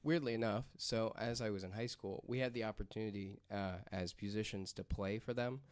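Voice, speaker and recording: A man's voice: fairly low pitch, steady tone, brisk, clear, resonant tone. A man chatting informally on a panel in loosely phrased sentences. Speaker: podcast panelist. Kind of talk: casual chat.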